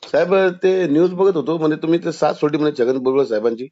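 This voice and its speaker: A man's voice: fairly high pitch, lively intonation, quick pace, dark tone. A man calling by phone and arguing, defiant, challenging and taunting the person on the line. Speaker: caller. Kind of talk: confrontational phone argument. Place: phone call. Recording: muffled phone call recording.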